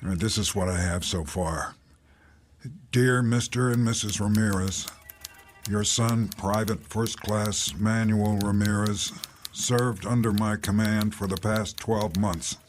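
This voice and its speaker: An actor playing a weary, burdened army captain in a radio drama, speaking in a measured, grave way. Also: male voice, on the low side, steady tone, natural voice. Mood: sombre. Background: music score.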